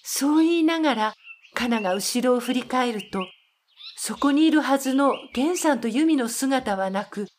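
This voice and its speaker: A woman's voice: high-pitched, lively intonation, moderate pace, darkish tone, a little hiss or hum. A woman narrator reading scripted storytelling narration for an audio drama.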